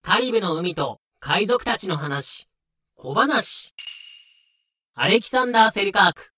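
Bright-voiced man narrating a dramatic tale. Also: sits high, lively intonation, measured pace. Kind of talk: scripted dramatic story narration.

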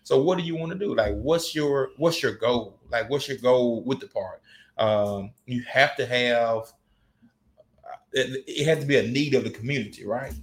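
A man's voice: mid-range voice, normal speed. Laid-back Southern man rambling in chat. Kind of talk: casual podcast chat.

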